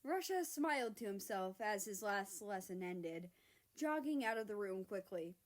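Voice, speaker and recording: A woman reads a story aloud. Her voice sits at a middle pitch, and she delivers the prose as an amateur storyteller would when sharing written fiction. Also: expressive, normal speed. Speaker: narrator reading own fan fiction aloud.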